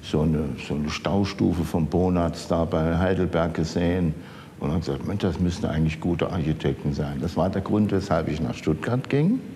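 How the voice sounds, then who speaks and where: An elderly man from the Saarland in Germany reminiscing in conversation, haltingly searching for words. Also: mellow. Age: older, 70s or 80s. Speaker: architect interviewed as an eyewitness. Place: large office archive room, indoors. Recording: echoey room with rumbling background noise.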